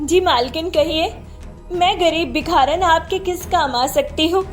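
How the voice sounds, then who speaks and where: A woman's voice: very high-pitched, a little slow, faint music behind. A woman voicing a poor, humble young beggar woman in a cartoon story, speaking in a pleading, grateful manner.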